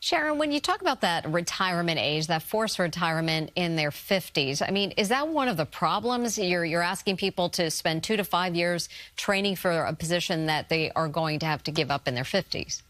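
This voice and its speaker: A woman's voice: fairly low pitch, expressive, normal speed, hardly any pauses, fairly bright tone. An American woman working as a news anchor, putting questions to a guest in broadcast interview style.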